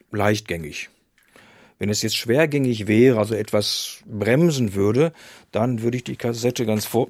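A man's voice: mid-range voice, husky, dry recording. A man giving informal, hands-on technical explanations as an expert. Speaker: cassette repair expert being interviewed.

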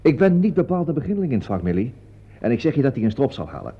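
An actor in a Dutch radio play as a wry, fussy veteran stage actor with a theatrical, drawling, sardonic manner. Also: male voice, medium pitch, huge pitch swings, rapid-fire, breathy edge, exaggerated voice. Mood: catty, sardonic. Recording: dull lo-fi radio drama recording.

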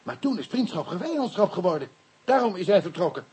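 A man playing a frightened, naive Pygmy mission convert in a radio play, speaking halting, stammering, broken Dutch with inverted word order. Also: high-pitched, big pitch swings, warm tone, husky, exaggerated voice. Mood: earnest, confiding.

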